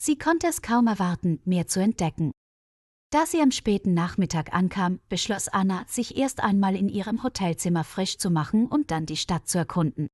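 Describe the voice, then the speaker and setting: A woman's voice: medium pitch, animated, normal speed, darkish tone, no echo, clean studio sound. A woman reading a scripted story for language learners as its narrator. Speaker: narrator of stories for German learners.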